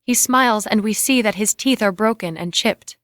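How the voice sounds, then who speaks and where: A woman's voice: mid-range voice, normal speed, fairly bright tone, dry recording, clean studio sound. A woman reading out the scene directions of a screenplay as scripted narration. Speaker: reader of stage directions.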